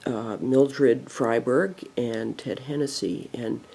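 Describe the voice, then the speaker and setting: An interviewer asking questions in a slow, hesitant way. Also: mid-range voice, creaky, warm tone.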